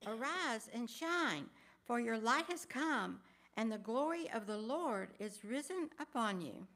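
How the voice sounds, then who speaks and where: A woman's voice: on the high side, animated, measured pace, fairly bright tone. Woman solemnly reading church narration. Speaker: cantata narrator. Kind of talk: scripted scripture reading. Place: large church sanctuary.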